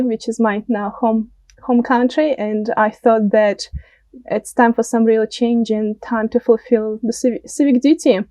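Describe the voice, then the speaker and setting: A woman's voice: on the high side, warm tone, no echo. A woman in her late twenties from Russia, with a Russian accent, giving conversational interview answers and often searching for words. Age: late 20s. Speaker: interview guest, political candidate.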